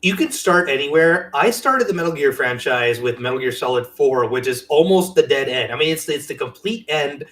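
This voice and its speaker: A man's voice: a little high, animated, normal speed, few pauses, fairly bright tone. A man co-hosting a podcast, talking casually with earnest, emphatic fan conviction. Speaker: podcast co-host. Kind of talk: casual chat.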